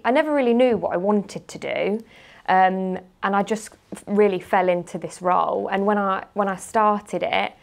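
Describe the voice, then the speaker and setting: A woman in her twenties with a British accent, speaking informally and telling anecdotes as a guest in a casual interview. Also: mid-range voice. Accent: British English. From grew up partly in Spain, lives in the UK. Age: 20s. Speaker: interview guest, marketing manager.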